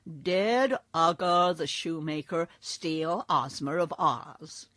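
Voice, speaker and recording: A woman voicing a kindly bear king in a read-aloud storybook, calm and gentle. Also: low-pitched, slow, no echo.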